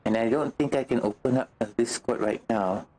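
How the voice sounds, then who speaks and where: A man chatting casually as a game streamer, halting and repeating himself as he searches for words, mildly exasperated. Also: medium pitch, steady tone, dark tone. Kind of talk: casual livestream chat while gaming. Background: music.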